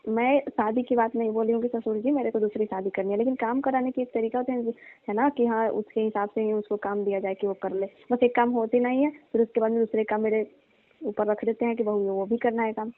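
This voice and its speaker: Woman acting as weary daughter-in-law, high voice, fairly even intonation, complaining and pleading, staged phone skit, dull phone-line sound. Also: brisk, dry recording, natural voice. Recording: dull, band-limited phone call recording.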